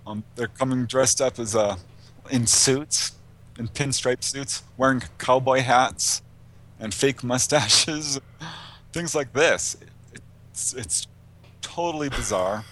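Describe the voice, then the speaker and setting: A man's voice: mid-range voice, takes it slowly, fairly bright tone. A man speaking conversationally as an interview guest, recounting anecdotes as a researcher and author, with a light chuckling manner.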